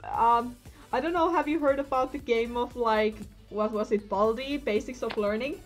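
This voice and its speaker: A woman's voice: fairly high pitch, normal speed, soft background music, rough recording. A young woman hosting her drawing stream, chatting playfully with friends on a call. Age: young adult. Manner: playful, jokey. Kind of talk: casual chat.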